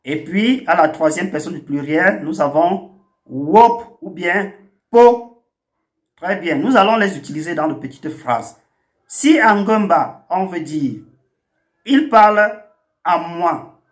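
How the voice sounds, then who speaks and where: Slow, high-voiced male language teacher. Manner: slow, deliberate, spells out words one by one. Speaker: language teacher and channel host. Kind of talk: scripted language lesson.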